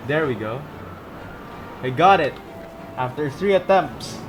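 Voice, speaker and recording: A gamer gives casual, chatty commentary and reacts aloud to the game. The voice sits mid-range with big swings in pitch, and loud game music plays underneath. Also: animated, slow pace. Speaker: gaming video host. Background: video game music.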